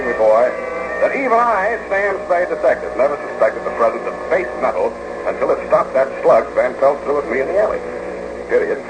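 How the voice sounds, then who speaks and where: An actor playing a wry, tough private detective in a 1940s radio drama, on an old recording. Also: male voice, medium pitch, close to an everyday voice, rough recording. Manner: wry, hard-boiled delivery.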